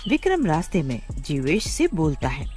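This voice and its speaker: A woman narrating a scripted story in an expressive, dramatic storytelling style. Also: deep voice, moderate pace, soft background music.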